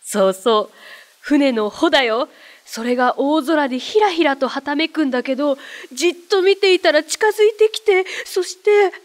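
A woman's voice: sits high, lively intonation, normal speed. A woman voicing a cheerful, curious young boy with an eager, childlike manner in a radio drama. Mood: playful teasing.

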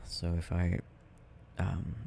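Tender boyfriend male actor, late-night ASMR roleplay: slow, gravelly murmur, doting and gently teasing. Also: deep voice, monotone, a little hiss or hum, heavily acted. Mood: cozy, affectionate. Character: tender, doting boyfriend soothing his sleepy partner at night.

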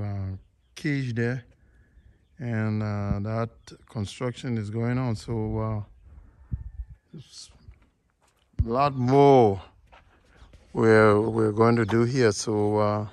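Slow, halting man touring his farm. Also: medium pitch, no echo. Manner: halting, many fillers, pleading for subscribers. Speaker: vlogger giving a farm tour. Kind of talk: casual walkthrough narration. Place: walled farm plot, outdoors.

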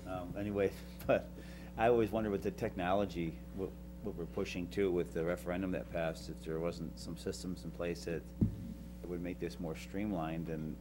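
A man's voice: low voice, fairly even intonation, normal speed, over music. A man at a school board meeting, speaking hesitantly and trailing off into a desk microphone.

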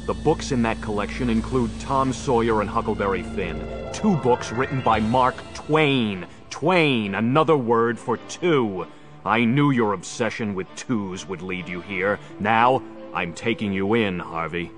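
An actor playing a stoic, determined masked hero, grave and deliberate, in an audio drama. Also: male voice, on the low side, music in the background, lightly acted. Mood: confident.

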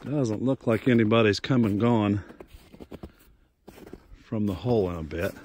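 A man's voice: on the low side, measured pace, a little mellow, slight background noise. A middle-aged man giving a casual walking tour of his farm, chatty and folksy, filmed outdoors on a handheld phone. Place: outdoors on a snowy farm and woods.

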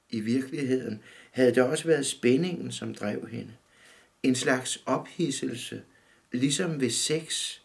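A man in his fifties calmly reading his own short story aloud in a dim room, measured and steady. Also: medium pitch, gravelly. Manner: calm, measured reading, often looking down at the text. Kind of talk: literary reading.

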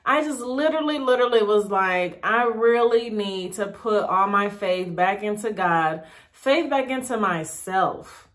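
A woman's voice: medium pitch, unhurried, a bit bright. A woman in her thirties vlogging in a casual, encouraging big-sister way, upbeat and repeating words for emphasis. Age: 30s. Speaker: YouTube vlogger. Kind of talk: casual encouraging vlog talk.

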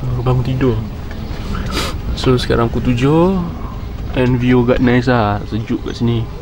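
A man's voice: mid-range voice, fairly even intonation, unhurried, background noise. Young Malaysian man, easygoing vlogger, slangy and playful, on a handheld camera outdoors. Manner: chatty, joking, slangy. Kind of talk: casual vlog chat. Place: outdoor campsite.

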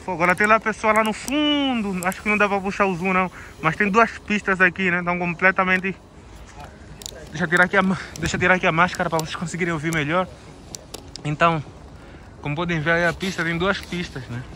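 A man's voice: sits high, steady tone, quick pace, pushed and bright, a little hiss or hum. A man from Angola vlogging outdoors on a ski slope into a handheld camera, laughing often and cheering his friend on. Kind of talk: casual vlog chat.

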